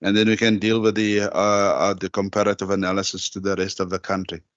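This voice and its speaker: Low flat-voiced man at a hearing. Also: no room sound, lo-fi sound. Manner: measured, hesitant with many fillers. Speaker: department head answering a committee. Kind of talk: formal committee testimony.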